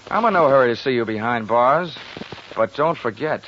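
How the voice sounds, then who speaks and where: An actor playing a wry, hard-boiled insurance investigator in a 1940s radio detective drama, wisecracking and clipped, both in narration and in dialogue. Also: male voice, mid-range voice, expressive, moderate pace, slight background noise, lightly acted. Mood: cool, wry. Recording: dull lo-fi 1940s radio recording.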